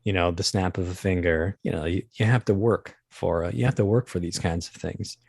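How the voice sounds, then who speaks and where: Deep-voiced man, halting and thoughtful. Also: fairly even intonation, vocal fry, darkish tone, husky, no room sound, clean studio sound. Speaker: audio drama creator and guest. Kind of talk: casual interview talk.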